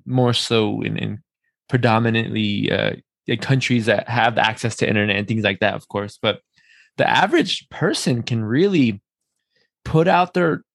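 A man's voice: mid-range voice, creaky, no echo. A man hosting a casual interview, thinking aloud in a rambling way and repeating words for emphasis. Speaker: podcast host.

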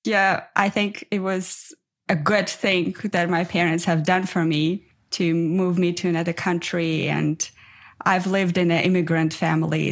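A woman's voice: low-pitched, creaky. A woman from Armenia who grew up in Ukraine, a life coach speaking as a guest in a casual interview in a reflective, earnest way. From Armenia, raised in Ukraine.

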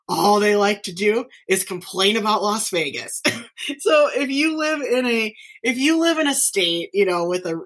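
A woman hosting her own podcast talks in a slightly low voice, loose and chatty. She laughs often and trips over her words, restarting sentences with fillers as she goes. She grew up in northern Nevada. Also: fairly bright tone. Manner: chatty, giggly, frequent fillers and self-corrections. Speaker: podcast host, teacher. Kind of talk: casual solo podcast chat.

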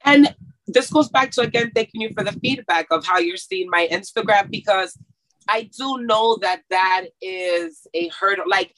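Bright-voiced woman chatting as guest. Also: mid-range voice, normal speed, low-quality recording. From grew up in Mexico, schooled in Texas. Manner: chatty, laughs often, many restarts. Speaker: massage therapist, interview guest. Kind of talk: casual interview chat.

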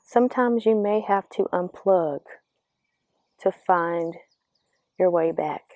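A woman giving a reflective, earnest motivational talk outdoors, speaking slowly and asking the listener questions, her voice slightly raspy. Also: on the low side, a little mellow, no echo. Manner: slow, earnest, coaching, asks the listener questions. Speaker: vlogger giving spiritual encouragement. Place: outdoors among birds. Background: birdsong.

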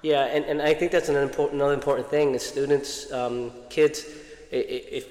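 A man's voice: medium pitch, steady tone, a little fast, darkish tone, roomy sound, long reverb tail. A man on the school staff interviewing a colleague, supportive and often restarting his sentences. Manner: supportive, repeats words as he restarts. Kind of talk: interview, informational outreach.

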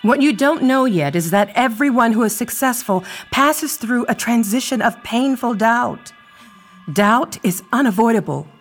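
An actress playing a serene, sinister cult leader in an audio play, measured, ceremonial and coolly controlled. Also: female voice, on the high side, expressive, faint music behind. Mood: serene, commanding.

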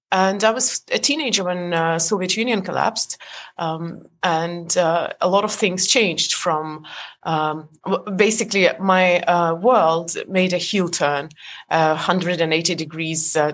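A woman in her forties from Estonia speaks as a podcast guest with a low voice. She talks haltingly, with many fillers and restarts and light laughs. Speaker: guest author. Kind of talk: casual interview chat.

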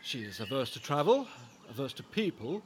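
An actor playing a genial, wry, talkative Georgian husband, henpecked yet cheerful, in a period audio drama. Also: male voice, medium pitch, sing-song, normal speed, slight background noise, close to an everyday voice.

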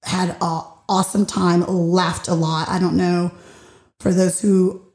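A woman hosting her own show, talking slowly and earnestly about personal growth, her deep voice a little raspy after losing it recently. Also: little pitch movement, a little mellow. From Puerto Rican and Dominican heritage. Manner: slow, heartfelt, searching for words. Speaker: podcast host. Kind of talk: reflective personal monologue. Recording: roomy echo.

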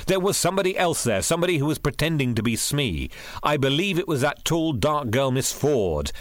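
Male reader as troubled storybook gentleman, insistent, earnest, raised animated pitch. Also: brisk, no room sound. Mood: protesting.

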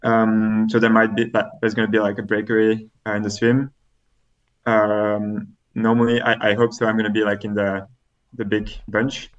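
Flat-voiced Belgian French man, hesitant. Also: fairly low pitch, moderate pace, dull audio. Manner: hesitant, searching for words, many fillers. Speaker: triathlete guest. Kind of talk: casual interview answers.

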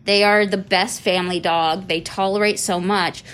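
A woman's voice: mid-range voice, steady tone, moderate pace, a bit bright, clean tone. A woman speaking as an interviewee, answering in a conversational, unscripted way. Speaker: interviewee, animal rescue store manager.

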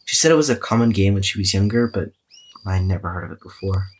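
A man's voice: deep voice, lively intonation, quick pace, breathy edge. An actor playing a weary, self-effacing young man who hesitantly records a wry, cynical audio diary in a drama podcast. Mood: awkward, musing. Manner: hesitant, musing, wryly cynical.

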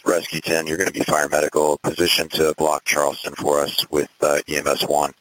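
Very deep, flat male fire commander on radio. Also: a little fast, no echo, poor audio. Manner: clipped, composed, directive. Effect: two-way radio. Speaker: fire battalion chief acting as incident commander. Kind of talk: procedural emergency radio dispatch traffic.

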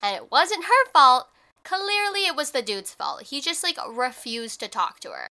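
Bright, congested young woman host. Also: high-pitched, sing-song, normal speed. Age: young adult. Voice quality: more nasal than usual. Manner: chatty, lots of filler "like". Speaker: podcast host. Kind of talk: casual chat.

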